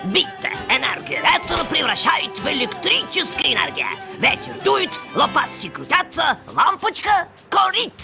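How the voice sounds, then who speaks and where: Cartoon: fussy German-accented penguin inventor, high and swooping. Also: male voice, sing-song, measured pace, creaky, hardly any pauses, over music, exaggerated voice. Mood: explaining. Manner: chirpy, lecturing, mixes in foreign words. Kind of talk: cartoon voice acting.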